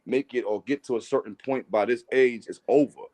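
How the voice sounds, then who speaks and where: A man with a deep baritone voice, a rapper guest chatting casually and reflectively in an interview. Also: brisk, darkish tone.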